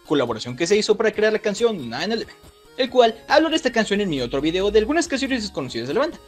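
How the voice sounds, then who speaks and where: A man hosting and narrating a scripted music trivia video in an expressive way. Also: sits high. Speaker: video host.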